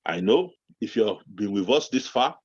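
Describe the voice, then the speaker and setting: Yoruba-speaking Nigerian man, co-host, animated preacher cadence, lo-fi dry sound. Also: on the low side, normal speed, breathy edge, no room sound. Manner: animated, preacher-like build-ups, bursts into shouting. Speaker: podcast co-host. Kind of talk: motivational talk show chat.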